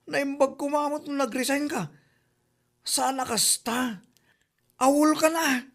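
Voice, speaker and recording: A woman's voice: high voice, fairly even intonation, slow pace, gravelly, exaggerated voice. A woman with an Ilocano accent acting as a mocking, scolding village busybody in a comedy drama. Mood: taunting.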